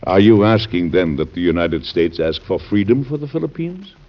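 Very deep, conscientious president. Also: male voice, sing-song. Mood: measured, courteous. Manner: deliberate, formal, earnest. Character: grave, conscientious middle-aged male American president. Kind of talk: classic American radio drama acting. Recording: dull band-limited old radio recording.

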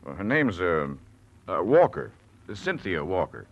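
An actor playing a kindly, avuncular older doctor in 1940s radio drama style, hearty and a little halting. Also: male voice, low-pitched, very animated, full character voice. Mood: kindly, reassuring. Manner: hesitant ums, hearty fatherly assurance. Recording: lo-fi old radio broadcast.

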